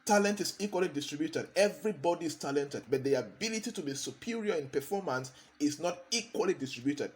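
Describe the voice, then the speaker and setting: A man's voice: on the high side, darkish tone. A man, a consultant giving a motivational talk, hammering points home by repeating key phrases. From Cameroon.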